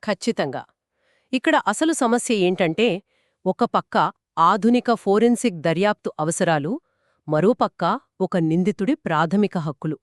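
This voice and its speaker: Woman explaining stuff like a podcast co-host, animated pitch, really dry studio-ish sound. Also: no room sound. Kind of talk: scripted two-person legal explainer discussion.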